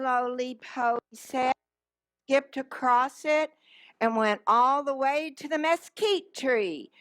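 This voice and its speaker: Female children's story time reader: high-pitched, very unhurried, theatrical emphasis, dry recording. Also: a bit bright, dry recording. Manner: very slow, drawn-out, dramatic emphasis, asks listeners questions. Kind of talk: animated picture-book read-aloud.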